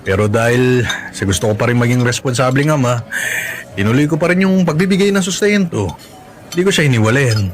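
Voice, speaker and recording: A man's voice: mid-range voice, expressive, a little fast, lightly acted. An actor playing a burdened father confiding in a coworker, casual and resigned, in a Filipino radio drama. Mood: weary, confiding. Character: burdened male coworker, weary father hiding troubles. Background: background music.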